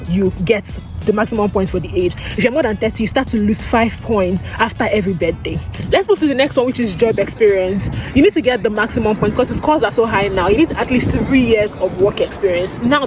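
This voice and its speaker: A man giving step-by-step advice in an emphatic, instructive vlog style. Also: high voice. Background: music.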